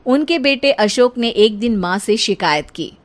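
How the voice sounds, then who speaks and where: A woman's voice: fairly high pitch, animated, a little slow. A woman narrator telling a scripted comic family tale. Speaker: story narrator.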